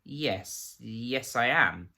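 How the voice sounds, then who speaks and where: Man putting on an oily, nervous bluffing tradesman, bright-edged. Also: mid-range voice, lively intonation, drawn-out words, vocal fry, heavily acted. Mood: nervous bluster. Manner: blustering, faltering, stammering when pressed.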